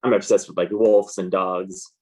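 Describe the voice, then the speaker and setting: Low-pitched, laid-back American man. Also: level tone, normal speed, husky. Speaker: interview guest, comic artist. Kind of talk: casual interview chat.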